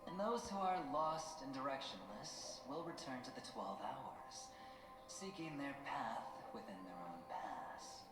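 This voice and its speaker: An actor playing a self-assured male gambler-schemer in a video game, speaking in a theatrical, teasing, deliberate storytelling manner. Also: mid-range voice, animated, lightly acted. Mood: earnest explaining. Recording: echoey game audio under music.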